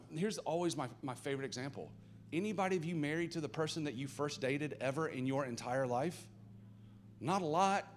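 A man with a Southern American accent, a pastor giving a live church sermon, earnest and building to loud, passionate emphasis. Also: a little high. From Southern United States.

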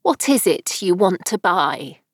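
A woman as a slow, brusque old storybook sheep. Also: fairly low pitch, sing-song, husky, no room sound, studio quality, exaggerated voice. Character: brusque old sheep shopkeeper, knitting and matter-of-fact.

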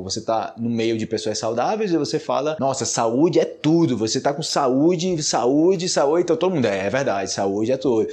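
A man from Brazil with a Brazilian Portuguese accent hosting a casual solo podcast, talking conversationally and growing animated when he acts out what people say. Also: mid-range voice. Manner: animated, rises sharply when acting out quoted remarks; conversational, with frequent tag questions. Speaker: podcast host teaching Portuguese.

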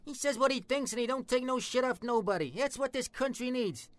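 High-pitched, brash New York tough guy. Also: a little fast, dry recording. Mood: earnest, brash. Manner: brash, profane, streetwise slangy talk. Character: foul-mouthed, bigoted Italian-American tough guy, brash and menacing. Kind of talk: comic dialogue acting.

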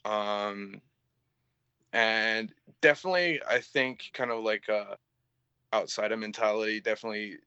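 A young man with a mix of English and Canadian accent, a band vocalist chatting as an interview guest in a halting way, full of fillers and casual swearing, on slightly dull lo-fi audio. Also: fairly low pitch, pushed and bright, smooth, no echo.

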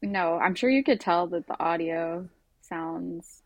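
A woman's voice: on the low side, slow, no room sound. A woman co-hosting a podcast in casual, rambling chat, talking over a basic home setup on a video call. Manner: bubbly, rambling, says "like" and "literally" a lot. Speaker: podcast co-host.

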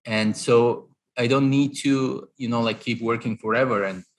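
A man's voice: medium pitch, fairly even intonation, normal speed. A man in his thirties with a Bulgarian accent, an investor answering interview questions analytically, with many fillers and restarts. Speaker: guest, real estate investor.

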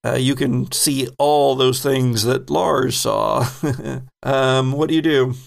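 A man running a tabletop horror game, narrating slowly and deliberately. His voice has a gravelly, creaky edge, and he lets small chuckles slip between descriptions. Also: medium pitch, fairly even intonation. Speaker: game master.

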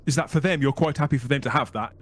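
A man's voice: medium pitch, quick pace, slight background noise. A man hosting a podcast, asking inquisitive follow-up questions. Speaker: podcast host. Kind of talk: interview questions.

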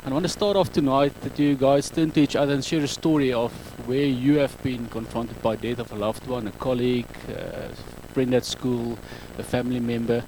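A man in his thirties speaking English with an Afrikaans accent, giving a public talk on a handheld mic in a halting, self-correcting way. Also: medium pitch, steady tone, a little mellow, slight background noise, rough recording. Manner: halting, self-correcting non-native English with frequent ums. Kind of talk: public talk to a live audience.

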